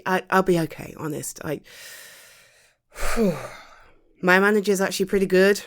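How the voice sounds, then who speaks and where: A woman's voice: medium pitch, moderate pace, close to an everyday voice. A woman with a British accent playing a caring, tired girlfriend home from the office, talking in a soothing, chatty, hesitant way in an intimate ASMR roleplay. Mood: wry, resigned.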